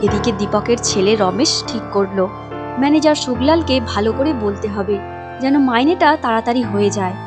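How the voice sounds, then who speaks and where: A woman's voice: a little high, expressive, fairly quick, over music. A woman narrating a cartoon moral tale in a scripted storytelling style.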